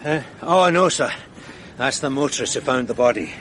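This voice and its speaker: An actor playing a patient, dryly ironic police sergeant in a radio drama, measured and deadpan. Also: male voice, mid-range voice, a little hiss or hum, lightly acted. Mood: matter-of-fact.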